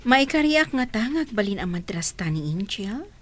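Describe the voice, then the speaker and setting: An actress playing a kind, coy, motherly woman being courted in an Ilocano radio drama, gentle and teasing in manner. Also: medium pitch, sing-song, normal speed. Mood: cautious, sincere.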